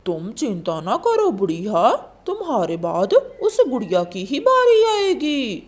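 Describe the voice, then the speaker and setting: Predatory female bird boss acted by a woman, mocking, commanding, high pitch, slow cartoon villain. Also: huge pitch swings. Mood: menacing.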